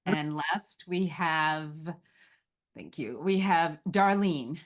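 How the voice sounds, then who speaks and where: A woman's voice: deep voice, expressive, drawn-out words. A woman hosting a live event and introducing the readers.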